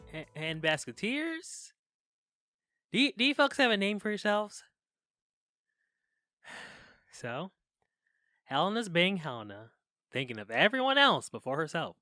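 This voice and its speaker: A flustered young man confessing into his podcast mic in an audio drama. His bright voice leaps up and down as he rambles and hesitates, awkward and lovelorn. The sound is close and dry. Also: high-pitched, big pitch swings, measured pace, long pauses, no echo, soft background music, exaggerated voice. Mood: awkward, fond. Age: young adult.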